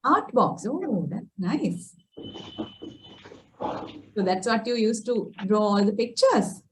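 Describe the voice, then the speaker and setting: A woman's voice: a little high, big pitch swings, slow pace, slight background noise, rough recording. A woman hosting a children's session on a video call, encouraging and praising as she prompts with questions. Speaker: children's storytelling session host, teacher. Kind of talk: gentle interactive chat with children. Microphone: video call microphone.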